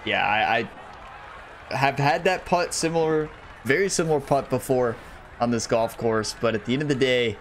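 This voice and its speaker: A man's voice: medium pitch, moderate pace, a little hiss or hum. A man giving casual let's-play commentary, chatting to his viewers as he plays, his voice a little worn. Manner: chatty, talks to viewers while playing. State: slightly losing his voice. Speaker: gaming YouTuber.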